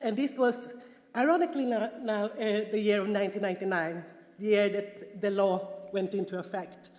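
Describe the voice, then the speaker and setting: Swedish-accented woman speaker, earnest and halting, mid pitch, recorded in a reverberant conference hall. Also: steady tone, darkish tone. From Sweden. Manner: earnest, halting, frequent restarts and fillers. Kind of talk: personal testimony talk with slides. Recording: echoey hall, long reverb.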